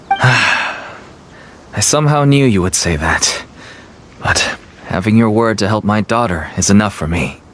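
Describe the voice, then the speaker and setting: A man's voice: lowish voice, animated, takes it slowly, pauses often, fairly bright tone, a little hiss or hum. An actor playing a desperate, weary merchant father who pleads for help, in a fan dub of a game. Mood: resigned. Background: background music.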